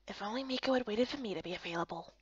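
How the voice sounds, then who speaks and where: A woman voicing a calm, reserved young male warrior in a fantasy game, subdued and terse. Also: mid-range voice, very fast, slightly rough, no room sound, lo-fi sound, voice pushed down, lightly acted.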